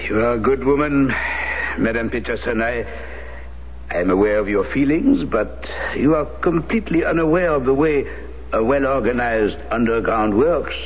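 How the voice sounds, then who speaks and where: Actor as a guarded priest, muffled radio sound. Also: male voice, mid-range voice, close to an everyday voice. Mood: patient, grave. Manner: measured, formal, hesitant. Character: guarded French parish priest, former Resistance leader. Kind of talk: radio drama acting. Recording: dull, noisy vintage radio recording.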